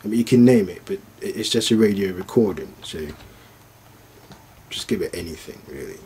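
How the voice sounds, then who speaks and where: A man giving an informal, unscripted step-by-step device demonstration, haltingly thinking aloud as he works through it. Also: low voice, animated, dark tone, slightly rough. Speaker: product demonstrator.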